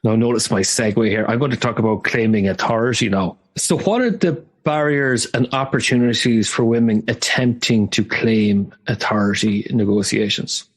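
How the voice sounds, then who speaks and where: A man's voice: on the low side, husky. A man hosting a podcast interview and explaining negotiation ideas for listeners, with some "uh" and "um". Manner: measured, explanatory, uses fillers. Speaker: podcast host, negotiation teacher.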